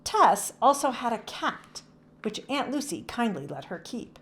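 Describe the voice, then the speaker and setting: A woman's voice: fairly high pitch, big pitch swings, a little slow. A woman narrating a children's book aloud in a scripted read-aloud style.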